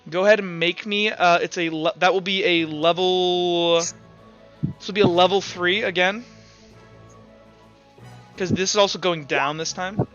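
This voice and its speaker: Game master, male, high forceful voice, informal tabletop narration, lo-fi sound over music. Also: fairly even intonation, measured pace, long pauses. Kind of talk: casual tabletop roleplay narration and rulings.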